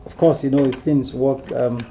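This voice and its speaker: A man's voice: mid-range voice, steady tone, moderate pace, dark tone, slight background noise, lightly acted. A man with a Nigerian accent playing an easygoing young crown prince in a naturalistic film drama. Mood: amused, earnest. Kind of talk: naturalistic Nollywood film acting.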